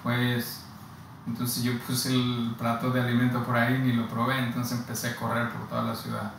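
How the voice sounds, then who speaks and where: A man in his thirties from Honduras, speaking with a Honduran Spanish accent, giving a personal testimony slowly and haltingly, with many restarts. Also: medium pitch, completely flat delivery, vocal fry, fairly bright tone. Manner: slow, halting, with frequent restarts and repeated words. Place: plain indoor room against a bare wall. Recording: very echoey room, distant mic, audible hiss.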